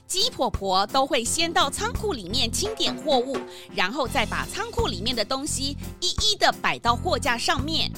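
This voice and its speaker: A woman with a Taiwanese Mandarin accent narrating a scripted story for children. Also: sits high.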